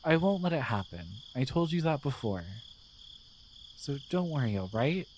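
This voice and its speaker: A man playing a gentle, devoted guardian angel in a romantic ASMR roleplay, speaking in a tender, hesitant, reassuring way. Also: on the high side, very animated, moderate pace, a little hiss or hum. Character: gentle, devoted male guardian angel in love with his human.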